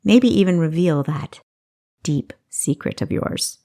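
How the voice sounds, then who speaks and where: A woman voicing a cocky, smooth-talking young male thief in a fantasy story, sly and boastful. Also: fairly low pitch, animated, takes it slowly, mellow, heavily acted. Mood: boastful, persuasive.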